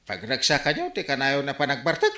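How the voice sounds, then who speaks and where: A man playing an exasperated, scolding village captain in an Ilocano radio comedy drama, animated and full of reproachful exclamations. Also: medium pitch, fairly quick, roomy sound.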